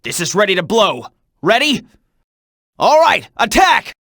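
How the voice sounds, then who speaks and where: An actor voicing a military soldier in a video game, giving urgent, clipped combat reports and orders. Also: male voice, sits high, very animated, a little slow, sharp tone, no echo, clean studio sound, heavily acted. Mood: urgent, commanding. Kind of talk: video game battle voice clips.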